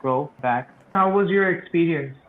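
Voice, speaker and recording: A man practicing English in a video-call interview, speaking slowly and carefully like a learner. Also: fairly high pitch, a little hiss or hum. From Pakistan. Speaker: interviewer and channel host. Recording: tinny phone-like call audio.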